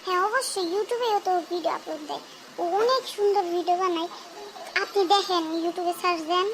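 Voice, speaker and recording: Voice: very high-pitched, a little fast, background noise. A performer voicing a cheeky, clever young boy in a cartoon, speaking in a teasing, playful way. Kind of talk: cartoon dubbing.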